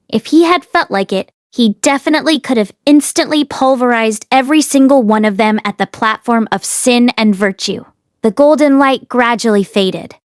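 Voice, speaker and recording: A woman narrates a fantasy novel in an expressive storytelling style. Her voice sits fairly high. The sound is dry, with no room around it. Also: dry recording. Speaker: audiobook reader.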